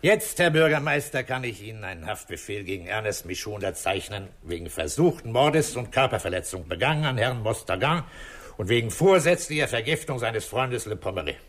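An actor playing a methodical, calm police commissioner in a 1960s German radio crime drama, deliberate and authoritative as he explains. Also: fairly low pitch. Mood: stern, official.

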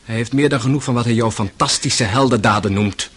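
An actor playing an earnest, frank young man in a radio play, with a husky voice. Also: mid-range voice, brisk, close to an everyday voice. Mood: frank, reproachful. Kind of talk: radio drama acting.

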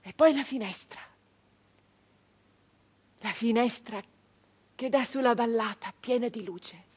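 Husky, wistful peasant woman. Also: on the high side. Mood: wondering, tender. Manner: hesitant repetitions, halting, emotional reminiscence. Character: 19th-century Italian peasant-born brigand woman, wistful, remembering home. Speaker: stage actress. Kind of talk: theatrical dramatic monologue for radio.

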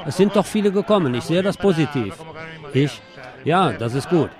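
A man's voice: a little high, lively intonation, normal speed. A man reporting for radio and reading out translated interview answers. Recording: street crowd behind the voice. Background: outdoor urban crowd noise.

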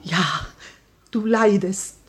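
An actress playing a grief-stricken, haunted Grand Duchess with a husky, breathy voice, intense in 1950s radio drama style. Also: female voice, mid-range voice, full character voice. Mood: bitter grief. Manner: slow, intense, anguished.

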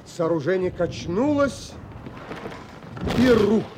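A man's voice: high voice, animated, a little slow, heavy background noise. An actor playing an earnest, troubled adventurer who tells his own story in theatrical, emotionally involved audio drama narration. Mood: tense, urgent. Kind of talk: dramatic first-person literary narration.

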